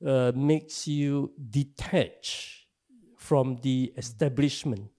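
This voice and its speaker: An older man from Singapore with a Singaporean English accent, giving slow, halting answers in an interview, full of filler pauses. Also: medium pitch, dark tone. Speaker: interview guest, activist.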